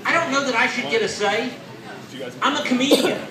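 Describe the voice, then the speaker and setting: A man in his forties performing a stand-up comedy set on a club stage, with deadpan, self-mocking punchlines. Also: extremely high pitch, a little fast, recorded from a distance, a crowd in the background. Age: 40s. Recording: echoey club, audience laughter.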